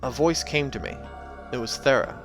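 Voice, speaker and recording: A hoarse man voicing an earnest, hopeful young male warrior in a storybook reading. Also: medium pitch, animated, moderate pace, faint music behind, lightly acted.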